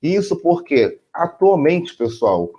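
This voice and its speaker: A man's voice: fairly high pitch, big pitch swings, takes it slowly, mellow, slightly rough, highs cut off. A man with a Brazilian Portuguese accent co-hosting a casual chat, playful and laughing easily with his partner. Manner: playful, laughs often, addresses "gente". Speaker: co-host. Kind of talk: casual chat with partly read script.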